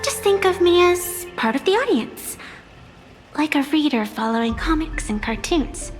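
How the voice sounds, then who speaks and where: Mysterious game spirit lady, high voice, teasing n aloof, formal and theatrical, music behind her. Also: lively intonation, a little slow. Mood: playful, curious. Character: mysterious, playful, aloof female supernatural being granting pacts. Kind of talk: video game character dialogue. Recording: long reverb, music behind.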